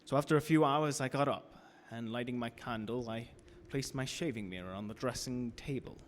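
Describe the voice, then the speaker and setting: An actor playing an anxious, trapped gentleman in a gothic radio drama, earnest and dramatic in his delivery. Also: mid-range voice, normal speed, close to an everyday voice. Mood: haunted narration. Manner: earnest, dramatic, increasingly fearful. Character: Jonathan Harker, anxious trapped English gentleman guest. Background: loud music.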